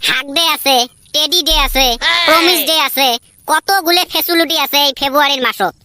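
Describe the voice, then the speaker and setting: A man's voice: very high-pitched, fairly quick, sharp tone, exaggerated voice. A man voicing a cheeky, whiny young son who argues for money in a comedy cartoon, with a northern Bengal accent. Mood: cheeky, defiant. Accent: northern Bengal (Cooch Behar region). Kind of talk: comedy cartoon voice acting in rural dialect.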